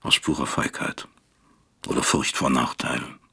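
An actor playing a guilt-ridden middle-aged father in an introspective radio drama, gravelly and husky, brooding and questioning himself in halting phrases. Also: extremely low pitch, little pitch movement, pushed and bright, full character voice. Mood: self-accusing.

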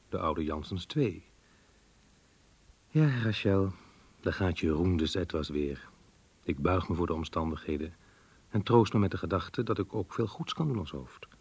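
An actor playing a resigned, yearning schoolteacher with a husky voice, reflecting wistfully in a radio play diary. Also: male voice, deep voice, darkish tone. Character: resigned, brooding male schoolteacher, yearning idealist.

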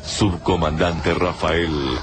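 A man reading radio drama credits as an announcer, formal and deliberate. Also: low voice, steady tone. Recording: radio credits over noisy backdrop.

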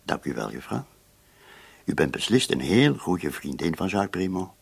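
Low, husky, probing police commissioner. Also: male voice, big pitch swings, moderate pace, full character voice. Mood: calmer, knowing. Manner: formal, insistent, probing questions. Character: persistent, coolly polite male police commissioner investigating murder. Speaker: actor in a radio play. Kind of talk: Dutch-language radio drama acting.

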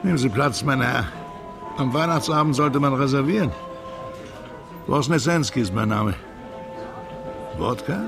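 A male actor playing an older, tipsy, sentimental bon vivant in a radio drama, courteous and talkative with a gravelly voice. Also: medium pitch, lively intonation, a little slow, long pauses, music in the background. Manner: drunken, nostalgic, gentlemanly.

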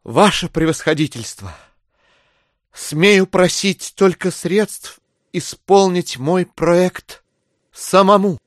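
A man's voice: high voice, slow pace, dry recording, clean studio sound. An actor playing a courteous, zealous male customs official in an audio drama, with oily politeness. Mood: eager, deferential.

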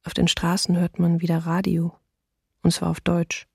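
An actress playing a weary wartime civilian in a documentary radio play, reading a diary in an understated manner. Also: female voice, lowish voice, completely flat delivery, normal speed, vocal fry, a little mellow, breathy edge, no room sound, studio quality, exaggerated voice. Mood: weary, matter-of-fact.

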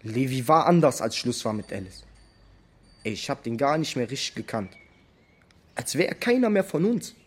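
An actor playing a blunt teenage buddy who talks in street slang, in a naturalistic radio drama. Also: male voice, medium pitch, big pitch swings, normal speed, long pauses. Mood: troubled. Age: young adult.

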